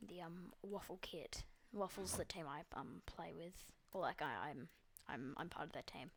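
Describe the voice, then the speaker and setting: A woman's voice: lowish voice, normal speed, a little hiss or hum. A young Australian woman giving casual, rambling commentary over her gameplay, full of ums and likes and the odd swear. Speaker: gaming video creator.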